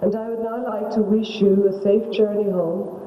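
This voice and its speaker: A woman from Ireland with an Irish accent, giving a measured, gracious closing speech to a conference. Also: medium pitch, fairly even intonation, roomy sound, dull audio, a little hiss or hum. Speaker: conference speaker.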